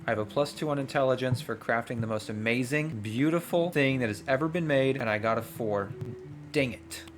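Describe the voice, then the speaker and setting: A man playing a tabletop game, reading narration aloud and thinking out loud in excitable, slangy asides. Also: mid-range voice, a little fast. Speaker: player and host of a gaming channel. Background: music.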